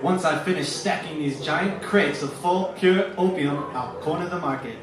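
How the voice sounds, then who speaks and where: A man's voice: on the high side, normal speed, a crowd in the background, natural voice. Man playing a gloating evil genius in a cavernous echo. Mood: gloating, scheming. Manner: grandiose, scheming, theatrical. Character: gloating male evil genius villain, megalomaniac. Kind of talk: old-time radio serial parody acting. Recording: echoey, distant, under very loud music.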